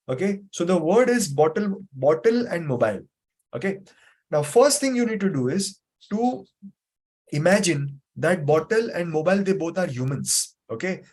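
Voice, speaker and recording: A man's voice: on the high side, a little mellow. A man teaching a live online memory class over a video call, patiently explaining and repeating points with frequent fillers. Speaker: memory trainer.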